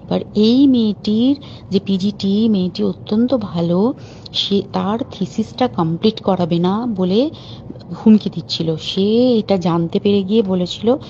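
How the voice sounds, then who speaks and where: A woman's voice: mid-range voice, a little slow, dark tone. Woman, informal phone talk, indignant, urgent, dull lo-fi call audio, light background noise. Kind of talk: private phone call, recounting events. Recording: muffled phone call recording with faint hiss.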